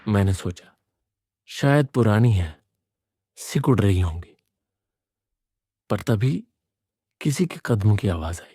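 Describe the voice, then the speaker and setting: A man narrating a scripted first-person horror story in a suspenseful, deliberate manner. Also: on the low side, lively intonation, dark tone, no room sound.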